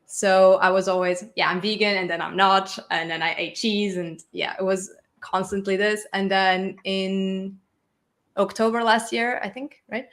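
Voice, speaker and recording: A woman's voice: medium pitch, level tone, a little slow. A woman from Portugal, a guest telling her own story in casual conversation. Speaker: interview guest, video creator. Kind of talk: casual chat, personal storytelling.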